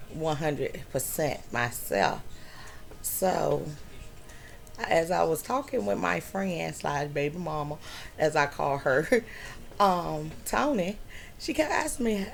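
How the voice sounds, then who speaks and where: A middle-aged woman talks to her channel from home in a slow, unhurried way, often pausing with fillers. Her voice sits a little low, with a gravelly, creaky edge. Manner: slow, drawn-out storytelling with many fillers. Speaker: vlogger. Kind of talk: personal health story vlog.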